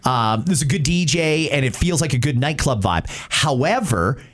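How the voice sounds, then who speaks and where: A man's voice: mid-range voice, animated, normal speed, fairly bright tone. A Canadian man hosting talk radio with conversational, opinionated, emphatic commentary.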